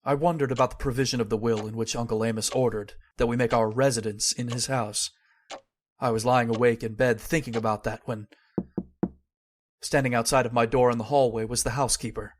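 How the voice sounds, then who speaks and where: An actor as an uneasy heir, faintly noisy recording. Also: male voice, medium pitch, fairly even intonation, brisk, close to an everyday voice. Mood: brooding. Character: David, uneasy young heir narrating a mystery. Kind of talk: old-time radio horror acting and narration.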